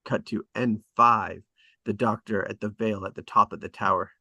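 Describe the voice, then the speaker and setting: Low-voiced man reading stage directions, very dry sound. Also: steady tone, normal speed, creaky, sharp tone, no echo, dull audio. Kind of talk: scripted narration at a table read.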